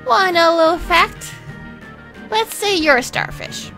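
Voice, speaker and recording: Woman voicing a manic, theatrical male twin, high-pitched. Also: a little slow, bright, forceful tone, faint music behind, heavily acted. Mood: bitter, manic. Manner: theatrical, foul-mouthed, rambling. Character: manic, crude, wounded creative twin Remus, male. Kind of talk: fan comic dub acting.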